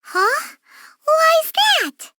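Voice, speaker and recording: Tiny childlike game fairy, very high squeaky voice, wildly animated, bossy. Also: female voice, extremely slow, no room sound, studio quality, exaggerated voice. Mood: excited, teasing. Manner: refers to self in third person, naive and bossy. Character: tiny floating fairy companion, childlike, chirpy and excitable. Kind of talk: video game character voice lines.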